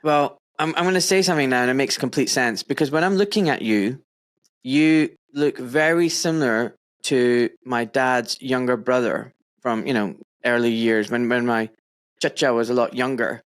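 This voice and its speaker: A man's voice: mid-range voice, moderate pace. A man hosting a podcast over a remote call, chatting casually and animatedly with friendly slang and laughter. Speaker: podcast host. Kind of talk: casual interview chat.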